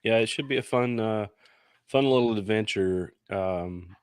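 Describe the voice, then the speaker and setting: A man co-hosting a podcast in casual conversation, his voice congested from a head cold. He speaks on the lower side, and the sound is dry, with no room echo. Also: unhurried, smooth. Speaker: podcast co-host. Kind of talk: casual chat.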